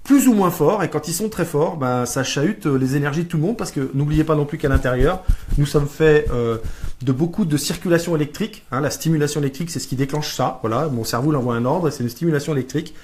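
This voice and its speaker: A man's voice: on the high side, fast talker, a little mellow, slight background noise. A man co-hosting a live stream as a life coach, chatting casually, joking and searching for his words. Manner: jokey, searching for words, playful put-on slang.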